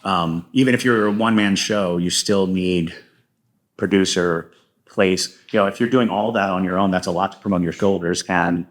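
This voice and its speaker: A man's voice: deep voice, normal speed. A man talking casually and at length as an interview guest, a wordy storyteller who explains his creative work. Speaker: guest playwright and podcaster.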